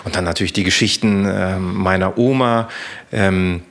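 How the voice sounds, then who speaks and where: Low-voiced hesitant thirty-year-old host. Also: male voice, fairly even intonation, normal speed, vocal fry. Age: 30s. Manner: hesitant, many fillers and restarts. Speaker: podcast host. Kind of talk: interview questions.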